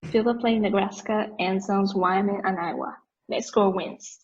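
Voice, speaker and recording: A woman's voice: medium pitch, steady tone, a little slow, a little hiss or hum, lightly acted. A woman playing an easygoing young play-by-play announcer in an amateur play reading. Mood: steady, informative. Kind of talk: amateur play table read. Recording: dull, lo-fi audio.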